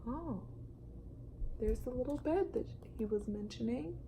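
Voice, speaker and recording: A woman reads aloud for young children in an expressive storytime style. She stresses words with animation and talks to her listeners in a coaxing way. Noticeable noise runs in the background. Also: on the high side, unhurried, gravelly, low-quality recording.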